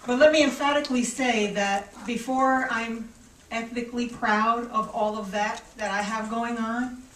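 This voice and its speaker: A woman's voice: on the high side, fairly even intonation, measured pace, a bit bright, a little hiss or hum. Woman, emphatic speaker, press conference, echoey room, distant mic. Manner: emphatic, declarative. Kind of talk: public statement.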